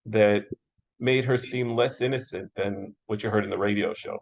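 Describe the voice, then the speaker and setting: Gravelly-edged American male interviewer. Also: lowish voice, steady tone, normal speed. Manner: agreeable, often echoing and affirming. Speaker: interviewer, moderator. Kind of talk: casual conversational interviewing.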